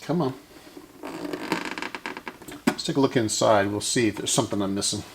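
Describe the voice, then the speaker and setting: A man with a gravelly voice casually narrating his hands-on repair work as host, musing and thinking aloud as he goes. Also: fairly low pitch, moderate pace, noisy background. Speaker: host of a vintage radio repair video.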